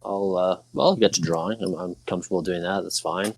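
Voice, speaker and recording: Deep voiced guy playing a tabletop rpg, casual chat. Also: steady tone, a little fast, darkish tone, no room sound. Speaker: tabletop game player.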